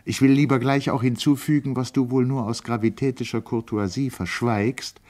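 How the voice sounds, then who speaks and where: A man reading aloud and voicing a proud, wounded, sharp-tongued older woman in an audiobook, lifting his pitch for her lines. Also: fairly even intonation, moderate pace, natural voice. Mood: mocking.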